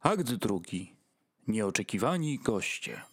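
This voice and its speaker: A man's voice: on the low side, very animated, slow. A man announcing the title and acts of a radio play from a script.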